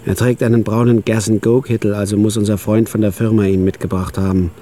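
An actor playing a tired, cynical night clerk who narrates in a dry, wry way in a radio drama. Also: male voice, lowish voice, little pitch movement, fairly quick, warm tone, slight background noise, natural voice. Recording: music bed under the voice.

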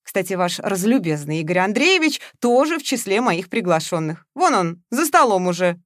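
A woman's voice: medium pitch, big pitch swings, normal speed, no echo, close to an everyday voice. A woman voicing a smug, jovial man who teases and hosts a party, in a dry recording. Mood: teasing. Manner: expansive, teasing.

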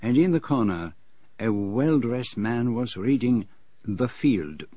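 A man playing a fussy detective who narrates his own story in a radio drama, with a measured, wryly dramatic manner. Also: medium pitch, animated, mellow, slightly rough, no echo. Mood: relieved, wry.

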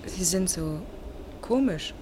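A woman's voice: fairly low pitch, lively intonation, slow pace, noisy background. A woman playing a curious, observant and teasing companion to a detective in a crime radio play, speaking casually and directly.

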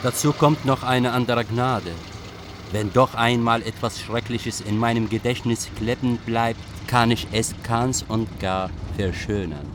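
An actor playing a thoughtful young male refugee writer, narrating a radio drama with wry, deliberate melancholy. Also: mid-range voice, steady tone, background noise, natural voice. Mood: wry, reflective. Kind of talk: literary first-person radio drama narration. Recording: music bed behind voice.

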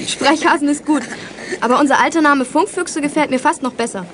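A cheeky, spirited teenage girl acted by a female with a high voice. She is pert and teasing, in a youth radio play with clear vehicle noise behind. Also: normal speed, natural voice. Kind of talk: German youth audio drama acting.

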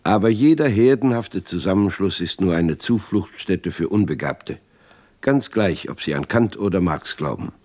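1950s radio drama: reflective, earnest male intellectual, low-voiced, delivering a diary monologue. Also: animated, normal speed, a little mellow. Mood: earnest reflection. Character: thoughtful male Russian writer reading his philosophical diary.

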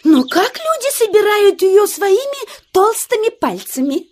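A shrill, excitable jay. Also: female voice, a little slow, heavily acted. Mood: amazed. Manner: shrill, exclaiming. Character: noisy, excitable, gossipy female jay bird. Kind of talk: children's audio play acting.

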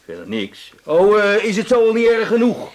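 An indignant cinema owner in a radio play, high-voiced and swooping. He stammers, repeats himself and grumbles with wounded self-pity. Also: wildly swooping pitch. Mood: flustered, defensive. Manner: stammering, halting, repeating words. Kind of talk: radio drama acting.

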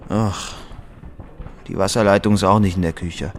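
An actor playing an anxious, placating young newlywed husband in a radio play, eager, excitable and hesitant. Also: male voice, deep voice, normal speed, a little hiss or hum.

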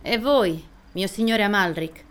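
A woman's voice: medium pitch, a little slow, lightly acted. A woman playing a resolute, imperious princess in a fantasy storybook, formal and decisive. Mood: commanding. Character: commanding, resolute young warrior princess.

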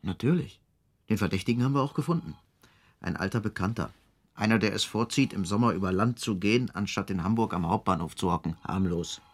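A man with a slightly low voice as a police officer under a chief. He reports in a matter-of-fact, unadorned way, in radio drama style. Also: brisk, slightly rough. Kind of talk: German radio drama acting.

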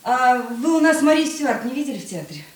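An actress playing a friendly, eager stage actress in a radio play. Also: female voice, high voice, sing-song, brisk. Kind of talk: Russian radio drama acting. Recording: echoey room.

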